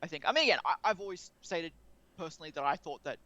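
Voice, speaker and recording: A man's voice: a little high, animated, fast, sharp tone. A man co-hosting a podcast in casual discussion, rambling and often rephrasing mid-sentence. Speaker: podcast co-host.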